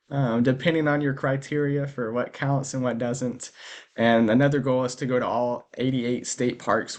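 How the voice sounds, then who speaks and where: Chatty male podcast host. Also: medium pitch. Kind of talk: casual interview chat.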